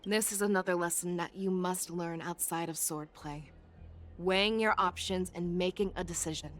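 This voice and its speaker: An actress playing a composed, commanding warrior general and mentor in a video game, speaking with measured authority. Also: female voice, lowish voice, fairly even intonation, close to an everyday voice. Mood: solemn. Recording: game music behind.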